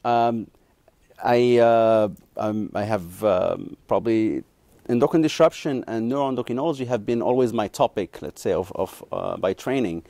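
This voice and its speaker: A man from Morocco, a neuroscience professor in his thirties, answering interview questions haltingly with many ums and restarts. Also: on the low side, mellow, no room sound.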